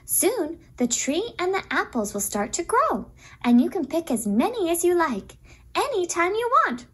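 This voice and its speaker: Kind lamb girl puppet, reassuring, high pitch, wildly swooping intonation. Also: sing-song, moderate pace, a bit bright, heavily acted. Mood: cheerful. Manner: sweet, girlish, reassuring. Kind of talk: children's puppet show acting.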